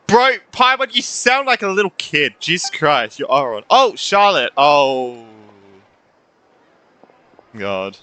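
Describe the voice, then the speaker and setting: A man giving casual gameplay commentary, halting and repeating words, with some swearing. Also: a little high, very animated, bright, forceful tone, smooth. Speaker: gamer reacting to a story quest.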